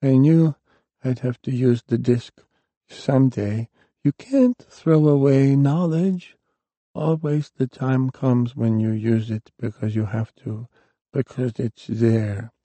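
A man's voice: medium pitch, slow pace, mellow, no echo, pitched above the performer's own voice. A man voicing an ancient, frail male warlock who is dying, with a thin, strained old voice, in an audiobook reading. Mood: weak.

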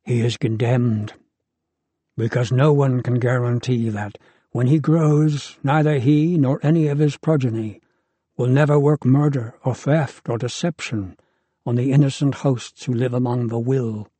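A man voicing a male archangel who speaks quietly and slowly, with cold calm. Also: mid-range voice, slightly rough, no room sound. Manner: quiet, slow, measured.

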